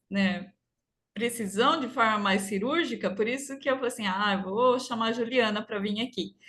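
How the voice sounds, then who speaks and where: A woman hosting a live video call, her voice a touch high. She is inviting and a little hesitant, dotting her talk with tag questions. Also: fairly bright tone. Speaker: live-stream host. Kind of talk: casual live-stream hosting.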